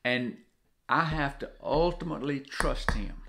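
A man's voice: medium pitch, a little slow, gravelly, fairly bright tone. An older man with a Southern US accent giving an informal, earnest devotional talk, often repeating phrases for emphasis. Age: 60s or older. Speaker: co-host of a devotional video. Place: home kitchen, indoors.

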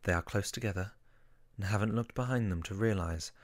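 A man's voice: fairly low pitch, a little fast. A man reading scripted narration for an audio drama. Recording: faint background noise.

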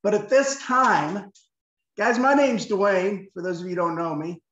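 A man's voice: high voice, measured pace, a bit bright, a little hiss or hum. Folksy man introducing on a muffled call. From southeast Kansas, USA. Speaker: team member introducing speaker. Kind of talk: casual introduction. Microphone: video call. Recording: dull roomy video-call audio.

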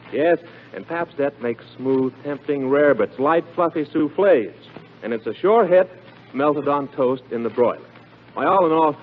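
A man announcing on 1940s radio with a polished, emphatic sales delivery. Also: a little high. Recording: narrow-band noisy 1940s radio recording.

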